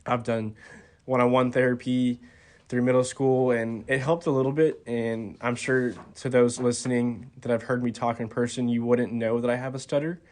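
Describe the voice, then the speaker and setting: A college-aged man being interviewed on a podcast, soft-spoken and hesitant, with many ums and restarts. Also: mid-range voice, level tone, normal speed. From Texas. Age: 20s. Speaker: college golfer, podcast guest. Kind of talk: casual interview talk.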